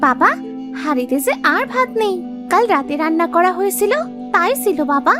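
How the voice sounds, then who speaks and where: A caring little village girl from a cartoon folktale acted by a woman. Her voice is extremely high and sing-song, sweet and soothing, with music behind it. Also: very animated, brisk. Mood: concerned. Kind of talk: cartoon fairy-tale voice acting.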